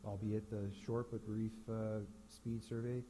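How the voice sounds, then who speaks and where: Halting man with a low dark voice. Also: level tone, a little hiss or hum, low-quality recording. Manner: halting, many fillers and restarts. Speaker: town staff member. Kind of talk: answering council questions.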